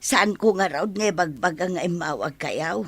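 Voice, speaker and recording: A woman acting a meek, deferential household helper who speaks in a hushed, hesitant way, in a radio drama. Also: on the low side, expressive, moderate pace, slightly rough, no echo.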